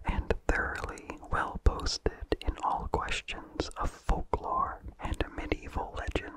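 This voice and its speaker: An ASMR host reading in a breathy, unvoiced whisper, slowly and calmly, with careful enunciation. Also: extremely high pitch, low-quality recording. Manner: slow, calm, careful enunciation. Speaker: ASMR host and story reader.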